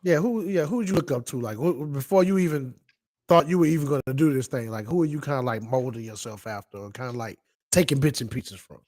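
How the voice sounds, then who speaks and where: A man's voice: medium pitch, fast talker, a little mellow, no room sound. A man hosting a casual interview, eager and starting over as he asks his questions. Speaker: interview host.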